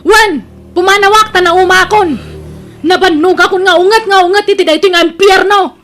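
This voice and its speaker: A woman plays a quarrelsome, fed-up wife with an Ilocano accent. Her voice is extremely high-pitched, and she scolds and accuses with a sharp tongue in audio drama style. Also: fairly even intonation, normal speed. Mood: scolding, fed up.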